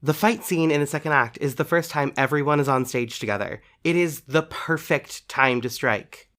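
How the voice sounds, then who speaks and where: A man's voice: on the high side, normal speed, a bit bright, lightly acted. A man playing a petulant, dramatic superhero playwright in an audio drama. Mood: sulky, petulant. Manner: petulant, melodramatic. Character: sulky, dramatic fired superhero playwright, male.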